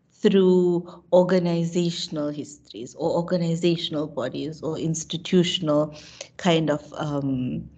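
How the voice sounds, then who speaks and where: A woman's voice: on the low side, a little mellow. A woman in her thirties with a South African accent and a slight nasal cold, answering thoughtfully on a video call with many fillers and restarts. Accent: South African English. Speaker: panelist, lecturer. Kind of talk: webinar panel answer. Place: room at home with bookshelves. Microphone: laptop webcam video call. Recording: dull lo-fi video call audio.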